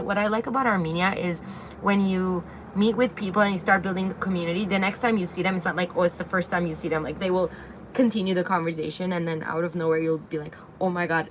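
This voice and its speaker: A young woman from Syria hosting a casual livestream Q&A, chatting loosely and reading viewer comments aloud, filling pauses with frequent ums and likes. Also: fairly low pitch, fairly bright tone, slight background noise. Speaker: livestream host. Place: outdoor balcony with greenery. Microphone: phone camera at arm's length.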